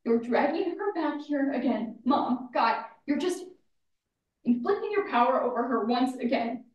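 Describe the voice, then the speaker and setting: An actress plays a raw, grieving grown daughter, a woman with a fairly high voice and a bitter edge. Her delivery is blunt and stammering, in naturalistic stage drama. It is recorded from a little way off in a roomy, echoey space. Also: lively intonation, unhurried, recorded from a distance, lo-fi sound. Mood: furious.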